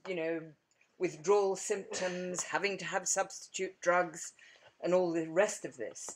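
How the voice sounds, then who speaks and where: Low-voiced woman reflecting slowly. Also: a little hiss or hum, poor audio. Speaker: conference participant. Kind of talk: conversational comment from the floor.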